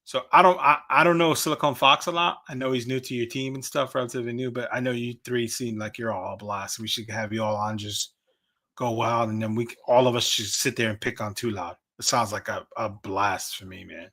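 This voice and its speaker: A man's voice: medium pitch, steady tone, brisk, sharp tone. A man from the United States chatting casually on a podcast in a rambling, self-deprecating way. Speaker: podcast participant. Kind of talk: casual chat.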